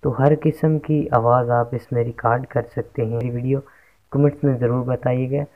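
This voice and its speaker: A man's voice: mid-range voice, steady tone, fairly quick. A man narrating a casual how-to tech tutorial as its host, on a dull lo-fi recording. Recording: dull lo-fi microphone sound.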